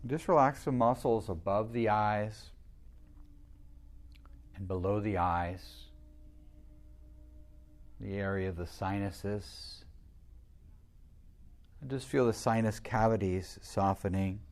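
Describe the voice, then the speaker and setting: A man with a fairly low voice leads a guided meditation. He speaks soothingly and without hurry, leaving long pauses between his instructions like a practised teacher.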